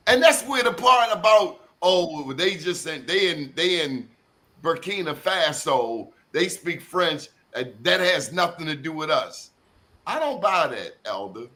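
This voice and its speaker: A man's voice: a little high, expressive, bright, forceful tone. A man in his sixties hosting a group discussion, speaking emphatically and often cutting in. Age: 60s. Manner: emphatic, quick to interject. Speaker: host.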